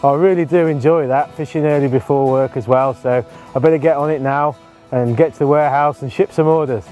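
Relaxed man explaining fishing outdoors. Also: on the high side, brisk, soft background music. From England. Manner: relaxed, explanatory, with frequent ums. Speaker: angling presenter. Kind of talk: casual instructional talk to camera. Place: outdoors on a canal bank.

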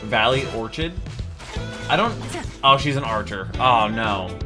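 A man's voice: mid-range voice, lively intonation, measured pace, music in the background. An American man in his thirties giving casual, chatty, enthusiastic reaction commentary as a video host at home. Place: small furnished home room.

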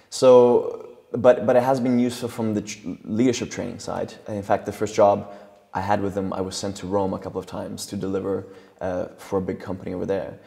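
A young man whose first language is Italian, speaking as a guest coach in a relaxed studio interview on a lapel mic. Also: deep voice, gravelly, in a large hall. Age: young adult. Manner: thoughtful, gestures while talking, frequent restarts. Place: studio set with leather sofas and brick wall.

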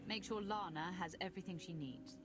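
A woman's voice: on the low side, brisk, noisy background, natural voice. Cool, resolute warrior woman, played by an actress. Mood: cool, dry. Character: self-assured female dark-side warrior commander. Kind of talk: video game voice acting. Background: music.

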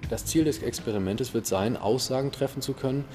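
A man's voice: mid-range voice, fairly quick, warm tone, faint music behind. A man giving an explanatory interview statement as a project expert.

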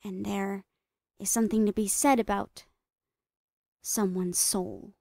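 A woman playing a smiling psychotic doctor in a horror ASMR roleplay, breathy and often whispering, soothing and falsely reassuring with drawn-out words. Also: mid-range voice, fairly even intonation, mellow, no echo, studio quality. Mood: gleeful, unhinged. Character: smiling psychotic woman doctor, sweetly menacing mad scientist. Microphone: close microphone, dry.

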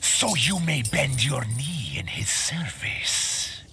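An actor playing a greedy, menacing otherworldly tribute collector with a gravelly hiss and a sly, formal, theatrical manner in a fighting game. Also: male voice, medium pitch, big pitch swings, slow, sharp tone, soft background music, heavily acted. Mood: sly, boastful. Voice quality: gravelly, hissing. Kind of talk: video game fight banter acting.

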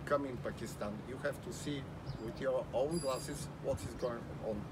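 A man's voice: medium pitch, normal speed. Hesitant Bulgarian-accented man outdoors. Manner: hesitant, many fillers, earnest. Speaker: tourist giving a testimonial. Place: outdoor balcony in the mountains. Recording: outdoor recording with loud street noise.

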